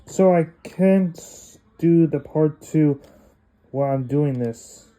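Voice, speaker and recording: A male vlogger unboxing a toy, rambling and excitable, talking to himself as he goes. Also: a little high, slow pace. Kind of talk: casual unboxing commentary.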